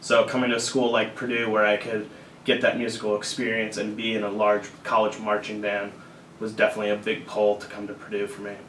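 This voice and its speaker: A young man in his early twenties from Illinois, answering interview questions in a measured, steady way. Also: lowish voice, echoey room, distant mic, a little hiss or hum, low-quality recording. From Illinois, USA. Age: early 20s. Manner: measured, steady, some fillers. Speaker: student guest.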